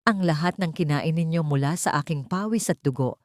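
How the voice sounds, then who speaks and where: A woman with a Filipino accent voicing a cold, bitter, vengeful woman in an audio story, measured and icy. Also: sits low, no echo, clean studio sound, natural voice. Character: cold, bitter avenging woman, quietly menacing.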